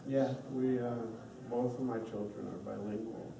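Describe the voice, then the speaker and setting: Gravelly man in a very echoey room. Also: mid-range voice, fairly even intonation, normal speed. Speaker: panel speaker, parent. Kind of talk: casual group discussion. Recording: very echoey room, distant mic, noise.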